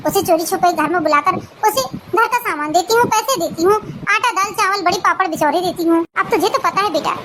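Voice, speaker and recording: A woman's voice: very high voice, a little fast, few pauses, a bit bright, slight background noise. A woman voicing an aggrieved older mother-in-law in a cartoon family drama, plaintive and self-justifying.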